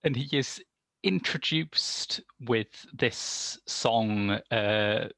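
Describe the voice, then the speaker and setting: A man's voice: medium pitch, very slow, gravelly, fairly bright tone, dry recording. A man discussing a film casually as a podcast reviewer, in a hesitant, discursive way.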